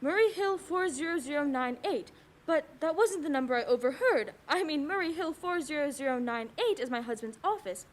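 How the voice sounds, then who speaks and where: A woman's voice: high voice, lively intonation, a little fast. A woman playing a fretful, haughty bedridden wife in a suspense radio play, agitated and insistent.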